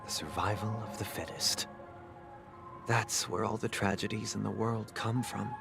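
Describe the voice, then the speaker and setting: A composed game-drama leader with a fairly low voice. He speaks politely and deliberately, like a man laying out a philosophy. Music plays clearly behind him. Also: fairly even intonation. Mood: grave, persuasive. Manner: measured, polite, lecturing. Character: composed, courteous, philosophical male dream-city leader. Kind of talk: dramatic video game voice acting.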